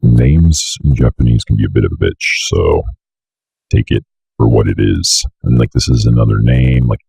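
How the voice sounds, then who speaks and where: A young man giving a casual, offhand screencast tutorial with some swearing, in a very deep, fry-laden voice close to a desk mic. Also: moderate pace, a little mellow. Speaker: tutorial host. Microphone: close desk microphone on an arm.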